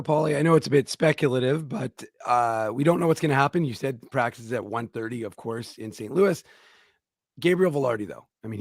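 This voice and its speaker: Sports talk co-host, middle pitch, dry close mic, rambly question with lots of asides. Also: male voice, no echo. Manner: speculative questions with fillers.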